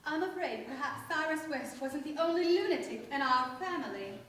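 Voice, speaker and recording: A woman's voice: high voice, animated, normal speed, a bit bright. A woman playing a catty, dramatic chatterbox relative in a staged radio play. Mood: snide. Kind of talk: staged old-time radio play acting. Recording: echoey hall, distant mics, faint noise.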